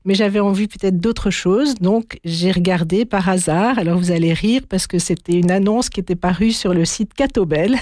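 Middle-aged female interview guest, unhurried, hesitant, dry close sound. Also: on the low side, no room sound. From Belgium. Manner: warm, hesitant, many fillers. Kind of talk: interview answers.

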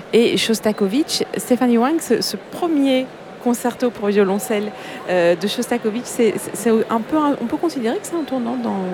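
A woman's voice: a little high, noisy background. A woman presenting a radio broadcast, explaining background in a chatty way with fillers. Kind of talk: broadcast commentary.

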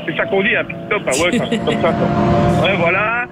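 A man, a radio reporter speaking live in an excitable, playful, exclamatory way. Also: high-pitched, brisk, very noisy. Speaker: field reporter on a radio show. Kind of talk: excited mock race commentary and live chat.

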